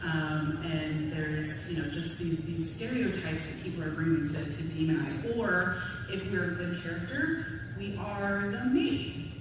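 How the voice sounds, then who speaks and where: A woman's voice: lowish voice, a little slow. Echoey, distant woman speaking on a panel. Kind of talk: panel discussion, candid personal commentary. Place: convention panel room. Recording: echoey hall, distant mic, clear background noise.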